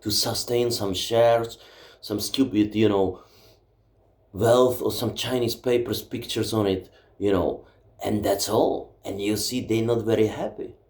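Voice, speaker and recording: A man's voice: on the low side, steady tone, measured pace, darkish tone. A man with a Czech accent, a native Czech speaker, delivering a blunt, emphatic and profane ranting monologue from his home library. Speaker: book vlogger. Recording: roomy echo.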